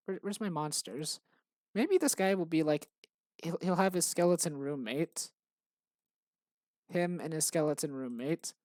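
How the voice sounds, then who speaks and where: A man making a game who rambles in casual commentary while he works, in a tired, monotonous, deadpan voice. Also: dry recording, studio quality. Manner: tired, low-energy. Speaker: game developer vlogger.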